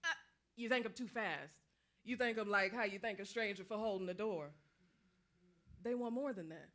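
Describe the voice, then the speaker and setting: A woman's voice: medium pitch, moderate pace, fairly bright tone, natural voice. A woman plays the restless ghost of a woman soldier in a stage drama. She is taunting and insistent, speaking in a streetwise vernacular and refusing to be forgotten. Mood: reproachful.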